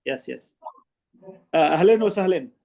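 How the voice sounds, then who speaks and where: A man, a participant in an online panel, who explains at length in an earnest, halting way with many restarts. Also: on the high side, expressive, creaky, a little mellow. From Somalia. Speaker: conference participant asking a question.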